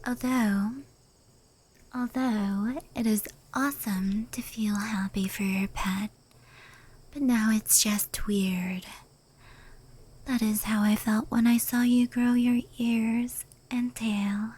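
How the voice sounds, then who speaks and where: A woman playing a proud, affectionate fox spirit in an intimate ASMR roleplay, speaking earnestly in a soft, breathy whisper. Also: on the high side, steady tone, takes it slowly. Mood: reflective, earnest.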